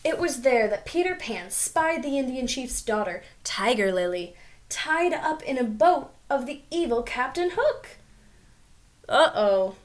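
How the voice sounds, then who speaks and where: A woman's voice: sits high, lively intonation, unhurried. A woman reading a storybook aloud to young children, expressively, with little asides about the pictures. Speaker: storybook reader.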